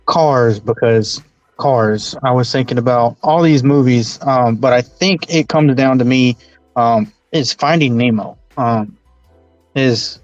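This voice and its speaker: A man in his twenties, co-hosting a podcast in casual chat, rambling with many pauses. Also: medium pitch, darkish tone, no echo. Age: 20s. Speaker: podcast co-host. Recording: dull dry lo-fi recording with faint music.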